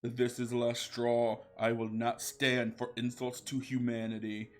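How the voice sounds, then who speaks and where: A man voicing a macho male cartoon cat who speaks in slow, stern, roaring demands. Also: medium pitch, completely flat delivery, faint music behind, natural voice.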